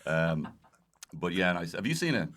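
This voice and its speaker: A man's voice: low voice, very animated, normal speed, a bit bright. A man chatting casually as a comedian guest on a podcast, rambling and joking at his own expense. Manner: rambling, jokey, self-deprecating. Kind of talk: casual comic conversation.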